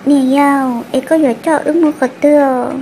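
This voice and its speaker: Woman voicing high, mellow female cartoon character. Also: steady tone, moderate pace, slight background noise. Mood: conversational. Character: female character in a family folk-tale cartoon. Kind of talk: cartoon folk-tale dialogue.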